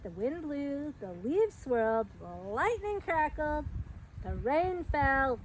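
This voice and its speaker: A middle-aged woman reads a picture book aloud to young children in an expressive, sing-song storytelling manner with chatty asides. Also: high-pitched, low-quality recording. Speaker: park educator hosting a children's storytime. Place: outdoors by a fence at a trailhead. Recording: outdoor recording with background noise.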